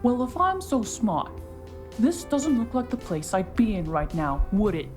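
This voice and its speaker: A woman's voice: on the high side, sing-song, a little slow, darkish tone. An actress playing a tough, suspicious woman holding a shotgun, interrogating with menace, with music behind her. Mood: menacing. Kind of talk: audio drama acting.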